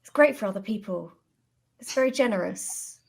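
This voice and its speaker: A woman's voice: medium pitch, lively intonation, normal speed, gravelly, breathy edge. A woman playing a tabletop game, talking casually with hesitant, dry understatement.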